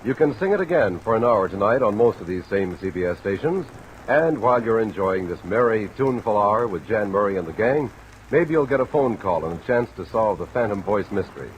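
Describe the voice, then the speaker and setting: A man's voice: lowish voice, lively intonation, fairly quick, slight background noise. An American man announcing in the promotional style of 1940s network radio. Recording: dull old radio broadcast, rain sound over it.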